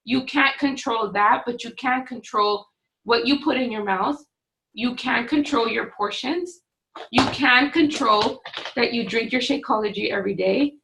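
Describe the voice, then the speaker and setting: A woman in her forties, a fitness coach talking straight to her webcam from a small home office, firm and no-nonsense, her voice slightly hoarse. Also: on the high side, measured pace, fairly bright tone, low-quality recording. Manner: firm, no-excuses, pep-talk delivery. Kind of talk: direct-to-camera coaching talk. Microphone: webcam at desk distance.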